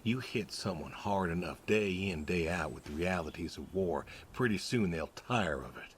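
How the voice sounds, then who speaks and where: A man's voice: low-pitched, animated, moderate pace, slight background noise. A man playing a stoic, weary older pig farmer and war veteran in a game, laconic and folksy. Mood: reflective, subdued. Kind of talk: naturalistic video game dialogue.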